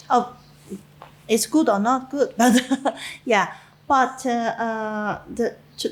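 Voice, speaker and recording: A middle-aged woman with a Chinese accent speaks English at an unhurried, measured pace. Her voice sits fairly high for a woman. She pauses often on fillers and breaks into soft little laughs as she reminisces in an interview. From China. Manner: halting non-native English with frequent fillers and soft laughs. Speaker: interviewee, winemaker.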